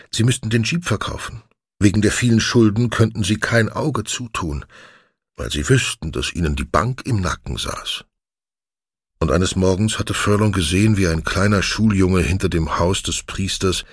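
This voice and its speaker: A man's voice: sits low, breathy edge, no echo, clean studio sound. A man narrating a literary audiobook.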